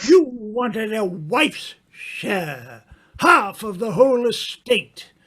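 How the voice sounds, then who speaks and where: A man acting in an old-time radio mystery as a suave, smug gentleman sleuth, with a theatrical, accusing delivery. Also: high voice, sing-song, extremely slow, breathy edge, full character voice. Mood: relentless, triumphant.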